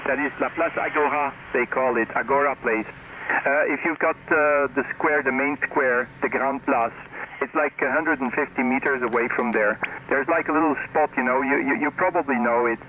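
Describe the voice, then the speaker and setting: A man's voice: mid-range voice, normal speed, pushed and bright, muffled recording. A man chatting casually and conversationally as an amateur radio operator, his voice received over the radio. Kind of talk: casual ham radio chat. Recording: noisy amateur radio reception.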